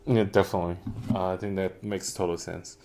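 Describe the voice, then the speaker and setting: A man's voice: low voice, steady tone, a little fast, a little mellow. A young man with a Mandarin Chinese accent, co-hosting a casual podcast chat, earnest and hunting for the right English words. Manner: earnest, searching for words, many fillers. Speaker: podcast co-host.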